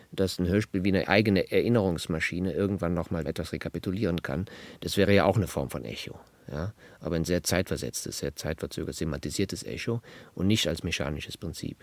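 Very deep, dry-sounding academic man. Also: a little mellow, no room sound. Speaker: interviewee. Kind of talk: intellectual interview talk.

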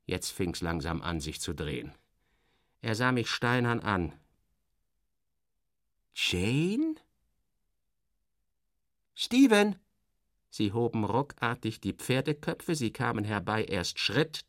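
Low-voiced man narrating theatrically. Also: measured pace, no room sound, studio quality. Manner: theatrical, sardonic, expressive shifts of emphasis. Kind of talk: dramatic first-person literary narration, radio play.

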